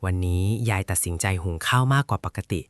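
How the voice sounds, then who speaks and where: A man's voice: deep voice, quick pace, fairly bright tone. A man narrating a scripted children's folktale as a storyteller.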